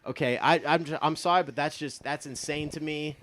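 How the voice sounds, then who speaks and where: A man's voice: fairly high pitch, steady tone, a little fast, fairly bright tone. Emphatic young American man talking sports. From United States, born in Texas and from Iowa. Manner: emphatic, opinionated, swears casually. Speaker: guest on a sports talk show. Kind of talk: casual sports chat.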